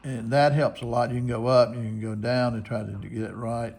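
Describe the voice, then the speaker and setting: A man's voice: medium pitch, level tone, brisk, darkish tone. An American man giving a casual, unscripted tutorial, explaining things step by step. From United States. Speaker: shortwave radio hobbyist host. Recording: clear radio static and hiss.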